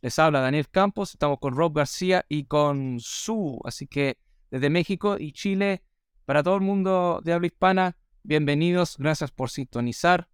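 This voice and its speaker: A man born in Australia whose first language is English, hosting a podcast and telling stories in a lively way with many fillers. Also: fairly high pitch, moderate pace, clean tone, dry recording, clean studio sound. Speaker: podcast host. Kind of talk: casual chat.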